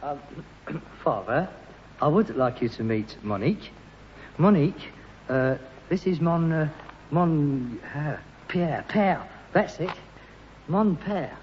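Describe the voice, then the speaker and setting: Flustered London son, muffled and echoey. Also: male voice, on the high side, expressive, slow, pauses often. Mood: awkward, polite. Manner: hesitant, stammering, indignant. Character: put-upon, pretentious adult son, flustered and suspicious. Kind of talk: British sitcom acting. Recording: muffled, noisy archive recording, echoey hall.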